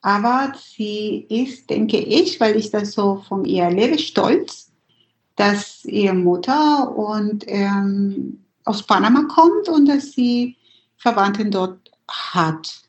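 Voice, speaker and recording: A woman's voice: medium pitch, animated, slow pace, roomy sound, dull audio. A middle-aged woman whose first language is Spanish, speaking German in a thoughtful, hesitant interview with many fillers. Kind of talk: reflective personal interview.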